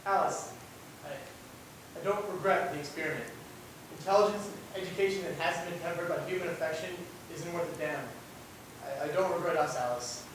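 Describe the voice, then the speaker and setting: An anguished, bitter prodigy of a man speaks with earnest theatrical emphasis, played by a young amateur actor. The pitch is high for a male voice. It is heard from far off in a very reverberant hall, over clear rumbling noise. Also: normal speed, lightly acted. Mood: resigned, tender. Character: anguished, bitter man made a genius by experiment. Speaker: student actor. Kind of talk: amateur stage play acting. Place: indoor theatre run-through without audience. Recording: echoey hall, distant mic, rumbling noise.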